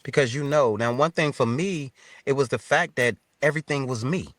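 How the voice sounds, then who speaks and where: A man's voice: mid-range voice, moderate pace, dry recording. A man in his fifties with an American accent, telling his story casually as an interview guest in informal, colloquial phrasing. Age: 50s.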